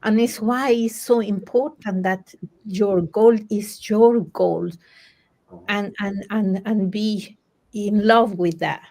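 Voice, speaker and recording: Slow-paced woman with Panamanian Spanish accent. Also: medium pitch, steady tone, dark tone. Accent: Spanish-accented English, Panamanian. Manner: halting, self-correcting second-language phrasing. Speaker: coach. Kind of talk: conversational personal storytelling in a group discussion.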